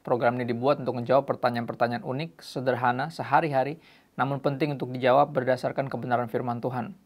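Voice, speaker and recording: A man hosts a youth faith program in a casual presenting style. His voice sits at a middle pitch with a noticeable gravelly fry. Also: flat delivery, fast talker. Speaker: host.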